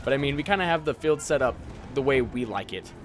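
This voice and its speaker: A man's voice: medium pitch, expressive, fast talker. Young American man, casual, over noisy gunfire. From United States. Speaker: gaming and airsoft YouTuber. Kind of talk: casual Q&A commentary, reading out viewer questions.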